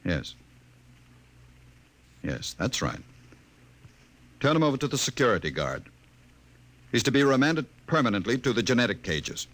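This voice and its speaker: A middle-aged man acting in a 1950s radio drama as a smooth, calculating government director, speaking formally and with measured authority. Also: medium pitch, sing-song, a bit bright. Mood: cold, commanding.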